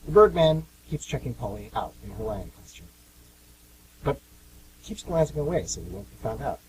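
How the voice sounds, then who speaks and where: Animated male narrator over noisy hum. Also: mid-range voice, normal speed. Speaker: host and narrator. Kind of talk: scripted radio play narration. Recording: amateur radio with clear hum noise.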